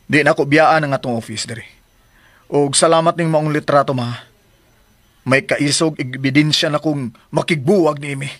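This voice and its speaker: A man's voice: fairly high pitch, steady tone, normal speed, long pauses, a bit bright, no room sound. A man in a Visayan Filipino radio drama playing a composed, resolute young adult son. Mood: calm, resolute. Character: composed, resolute grown son set on leaving his partner. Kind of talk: broadcast radio drama acting.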